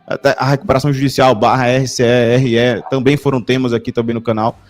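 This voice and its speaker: A man's voice: medium pitch, little pitch movement, fast, slight background noise. A man from Bahia, Brazil, hosting a channel and asking long, rambling questions in casual talk. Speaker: channel host. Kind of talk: casual discussion.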